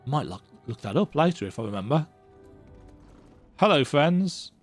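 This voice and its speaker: Husky, wildly animated male game streamer. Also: normal speed, faint music behind. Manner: chatty, musing aloud, wildly animated pitch. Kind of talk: casual game reaction commentary.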